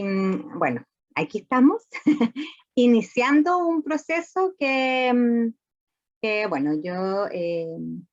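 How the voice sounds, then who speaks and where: A woman's voice: medium pitch, muffled recording. A woman from Chile with a Chilean accent, a psychologist giving an informal talk over a video call in a loose, chatty way. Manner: loose, conversational, full of fillers. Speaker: psychologist guest speaker.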